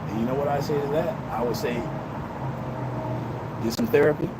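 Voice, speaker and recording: A man's voice: medium pitch, a little fast. Blunt man in his fifties, noisy balcony. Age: 50s. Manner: blunt, preachy, addresses viewers directly. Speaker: livestream host. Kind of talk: blunt opinion livestream monologue. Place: outdoors on a city balcony. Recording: loud outdoor street noise. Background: city traffic and outdoor noise.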